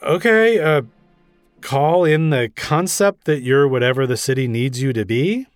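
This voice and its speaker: A man's voice: mid-range voice, measured pace, no echo, faint music behind, voice pushed up, natural voice. A man voicing a flustered, earnest police commissioner, stammering and rambling anxiously in a comic story reading. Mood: confused, pleading. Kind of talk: comic audiobook-style reading.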